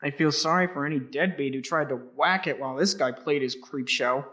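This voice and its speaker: A man plays a young male investigator in an audio drama. His voice runs a bit high for a man. He comes across as casual and crude. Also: a little fast, bright, forceful tone. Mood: crude, muttering.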